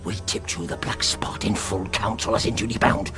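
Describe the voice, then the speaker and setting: An actor playing a surly, blustering mutinous pirate crewman with a rough voice in a radio drama. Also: fairly low pitch, normal speed, over music, lightly acted. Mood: defiant. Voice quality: rough, rasping. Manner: blustering, accusing.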